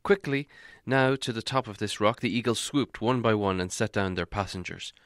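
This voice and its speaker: A man narrating an audiobook in a measured storytelling way, softening on descriptive passages. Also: on the low side, no echo, clean studio sound. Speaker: audiobook reader.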